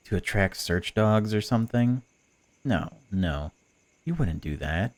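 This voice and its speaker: A man's voice: fairly low pitch, expressive, unhurried. A man voicing a female stalker in a visual novel, a chatty, patronising captor who pretends to care. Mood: mocking. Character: cold, mocking female stalker, falsely caring captor. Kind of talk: visual novel dialogue read aloud.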